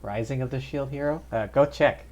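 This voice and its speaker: A man's voice: mid-range voice, normal speed, a little mellow, dull audio, slight background noise. A man doing casual live game commentary as a streamer, with offhand, wry reactions.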